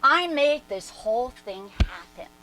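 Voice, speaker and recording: A woman's voice: on the high side, expressive, a little slow, very noisy. A woman performing a dramatic reading as a brash, independent-minded woman recalling her past in emphatic, conversational asides. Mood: insistent, puzzled. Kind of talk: dramatic reading, animated first-person storytelling.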